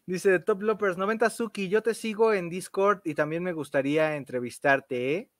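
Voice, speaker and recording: A man's voice: high voice, moderate pace, a bit bright, no room sound, clean studio sound. A man with a Mexican accent hosting a casual livestream and reading out comments. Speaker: livestream host, interviewer.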